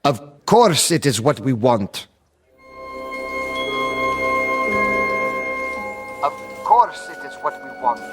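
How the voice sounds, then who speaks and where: A Russian delegate in a radio drama. The voice is mid-range, formal and pointedly skeptical, like someone questioning at an assembly. Music plays faintly underneath. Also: male voice, mid-range voice, very animated, measured pace, slightly rough. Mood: conceding. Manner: pointed, rhetorical.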